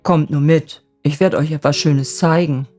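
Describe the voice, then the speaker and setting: A woman voicing an old bachelor in a storybook fairy tale, awkward and restrained but softening. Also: low-pitched, fairly even intonation, normal speed, close to an everyday voice. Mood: awkward, kind. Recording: music bed under the voice.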